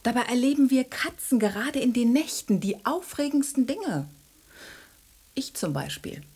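Hauchige, genüssliche Katzendame. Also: female voice, on the high side, lively intonation, moderate pace, darkish tone, husky. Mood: smug, confiding. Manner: musing, theatrical, genteel. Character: self-possessed, cosy, comfort-loving grown female cat. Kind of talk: radio drama monologue.

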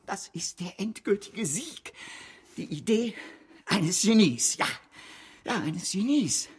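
A grandiose financier in a radio play. His husky voice moves in wide sweeps of pitch. He is impatient and bombastic, always pushing to persuade. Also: fairly bright tone, full character voice. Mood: triumphant, boastful. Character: grandiose, scheming bank director intoxicated by speculation. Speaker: bank director. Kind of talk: German radio drama acting.

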